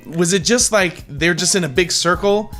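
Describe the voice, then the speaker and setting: A man's voice: sits high, moderate pace, pushed and bright, soft background music. A man chatting casually as a podcast co-host. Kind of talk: casual chat.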